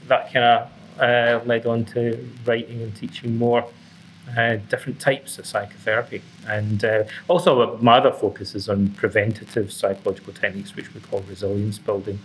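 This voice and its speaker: A middle-aged Scottish man giving explanatory, academically phrased answers as an interview guest. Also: mid-range voice. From Scotland. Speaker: interview guest, author and psychotherapist. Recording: clearly noisy background ambience.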